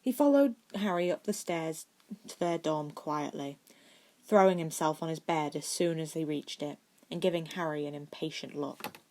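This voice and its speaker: Lower-pitched woman reading a story. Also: normal speed, a little mellow. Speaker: amateur audiobook reader. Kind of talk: scripted fan fiction narration.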